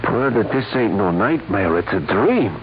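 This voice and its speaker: An actor playing a skeptical, streetwise small-time crook who talks in slangy wisecracks in a 1940s radio drama. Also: male voice, fairly low pitch, big pitch swings, a little slow. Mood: interested. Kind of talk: 1940s radio gangster acting. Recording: tinny, hissy 1940s radio recording.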